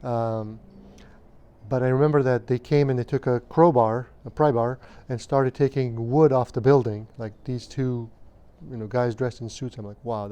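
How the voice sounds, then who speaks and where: A man in his fifties from Romania, recalling his childhood in an interview at a measured, hesitant pace with frequent pauses and fillers. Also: medium pitch, warm tone. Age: 50s. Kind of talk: recounting childhood memories.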